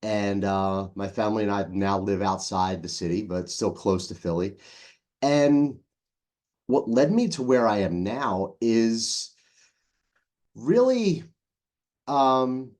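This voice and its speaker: A man's voice: lowish voice, slow pace, pauses often. A man in his forties with a Philadelphia accent, a leadership coach telling his story as a podcast guest, loose and chatty with a few ums and restarts. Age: 40s. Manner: relaxed storytelling with fillers and restarts. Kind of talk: casual interview.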